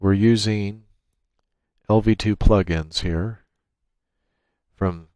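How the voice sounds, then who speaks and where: Male tech tutorial narrator, deep register, plain practical delivery, unscripted walkthrough, dry room sound. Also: fairly even intonation, takes it slowly, darkish tone, no echo. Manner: matter-of-fact, step-by-step explaining. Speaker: tutorial presenter. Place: indoors at a computer, screen-recording voice-over.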